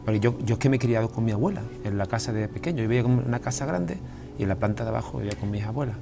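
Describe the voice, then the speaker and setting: A man's voice: medium pitch, rapid-fire, creaky, music in the background. An Andalusian man, a writer being interviewed, talking casually with frequent restarts and repeated words. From Andalusia, Spain. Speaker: guest writer. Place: television studio.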